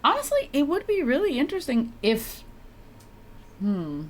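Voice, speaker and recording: A woman's voice: sits high, wildly swooping pitch, normal speed, slight background noise, low-quality recording. A woman streamer giving casual, reactive, sweary commentary while playing a game. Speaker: live streamer.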